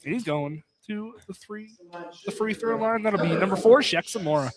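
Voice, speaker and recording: A man's voice: high-pitched, normal speed, over a noisy crowd. A man doing live play-by-play basketball commentary on radio. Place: college basketball field house. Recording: clearly noisy live broadcast.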